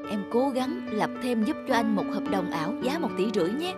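A woman's voice: fairly high pitch, sing-song, a little fast, loud music behind the voice. A woman voicing a scheming, persuasive young adult son in a cartoon. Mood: low, conspiratorial. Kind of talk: cartoon dubbing.

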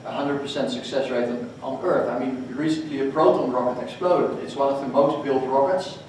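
A man speaking on a conference panel, halting with frequent restarts. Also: medium pitch, steady tone, a little mellow, a little hiss or hum. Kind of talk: panel discussion. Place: hotel conference room, panel table. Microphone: table mic, recorded from far off. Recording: echoey hall recorded from far off.

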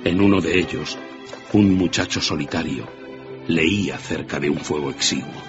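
A man's voice: a bass voice, moderate pace. A man narrating a scripted story in a dramatic storytelling style. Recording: muffled radio broadcast with prominent music.

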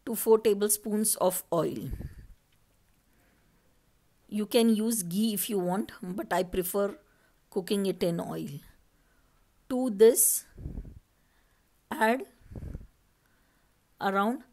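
A woman's voice: medium pitch, a little mellow. A woman from India, narrating a recipe step by step as a cooking channel host over her footage. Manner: slow, step-by-step instructing.

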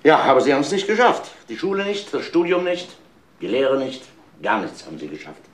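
An actor playing an insistent, accusing police inspector in a German crime audio play, questioning with deliberate emphasis. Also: male voice, mid-range voice, huge pitch swings. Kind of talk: German radio crime drama acting.

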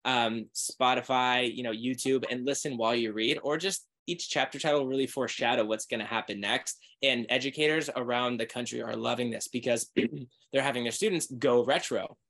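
Energetic man author pitching his book. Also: normal speed. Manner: energetic, promotional. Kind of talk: webinar conversation. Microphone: video call.

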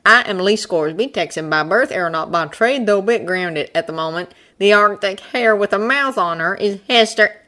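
Storybook Texan airman, performed by a woman: folksy, courteous, quick to argue, lifted animated delivery. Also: medium pitch, voice pushed up, close to an everyday voice. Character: plain-spoken, polite, argumentative Texan man, an aeronaut.

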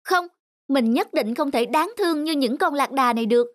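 Earnest, high-voiced young girl. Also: animated, moderate pace, no room sound, clean studio sound. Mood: determined. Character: timid, earnest young girl dreaming of art school. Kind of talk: animated cartoon dubbing.

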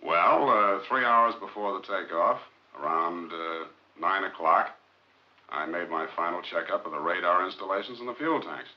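Man playing deep-voiced, guarded scientist. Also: natural voice. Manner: curt, measured, defensive. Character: guarded, blunt male rocket scientist. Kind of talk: 1950s film acting. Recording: dull, muffled 1950s film soundtrack.

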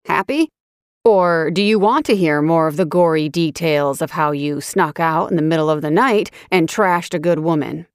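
A woman's voice: medium pitch, expressive, a little slow, hardly any pauses, a bit bright, clear, resonant tone, dry recording, voice pushed up. A woman reads an angry young man who swears in every breath, protecting his hurt cousin. His lines come out hard and biting. The sound is dry and close. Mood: furious.

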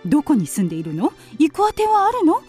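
Radio drama: actress as spoiled rich young wife, impulsive and coy, high, very animated delivery. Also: female voice, quick pace, faint music behind. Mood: eager, solicitous. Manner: coy, affected, theatrical. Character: impulsive, vain upper-class young wife, coaxing her husband.

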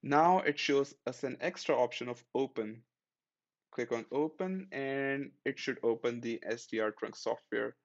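Man giving plain step-by-step software instructions. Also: mid-range voice, lively intonation, a little slow, dull audio. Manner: plain step-by-step instructions, friendly and matter-of-fact. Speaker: tech tutorial host. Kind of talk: screen-recorded software tutorial.

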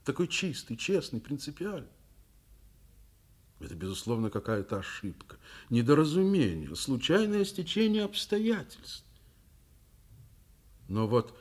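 Stern, husky-voiced Soviet school principal. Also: male voice, medium pitch. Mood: troubled narration. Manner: emphatic, commanding, articulate. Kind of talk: mid-century Soviet radio play acting.

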